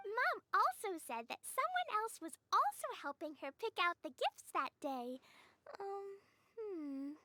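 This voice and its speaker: A woman's voice: very high voice, pushed and bright, no room sound, clean studio sound, heavily acted. A woman voicing a cheerful, innocent, sweet little girl in a video game. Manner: speaks of self in third person. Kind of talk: video game character acting.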